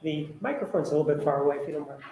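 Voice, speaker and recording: A man prosecuting a case, asking a witness formal questions with occasional pauses and fillers. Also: a little high, noisy background. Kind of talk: courtroom questioning. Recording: roomy courtroom audio.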